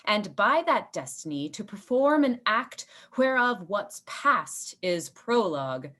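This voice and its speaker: A woman playing a scheming, ambitious male lord in a Shakespearean radio play, insinuating and deliberate as a persuasive tempter. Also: mid-range voice, very animated, bright, forceful tone, exaggerated voice. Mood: insinuating, coaxing.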